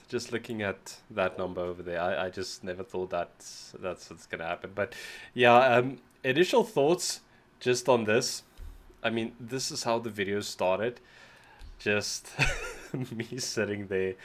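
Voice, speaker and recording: A man's voice: fairly low pitch, fairly bright tone. A South African man whose first language is Afrikaans, slightly sick, chatting casually and rambling as the host of a music reaction channel. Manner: rambling, self-deprecating, often restarts words. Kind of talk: casual reaction video chat.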